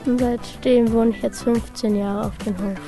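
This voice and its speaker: A woman's voice: a little high, steady tone, normal speed, mellow, soft background music. A young woman from Germany giving brief, simple answers in an interview.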